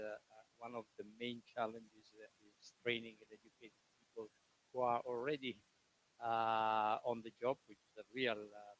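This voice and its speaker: A man moderating a conference panel in a halting way with frequent pauses. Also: medium pitch, a bit bright, slight background noise, low-quality recording.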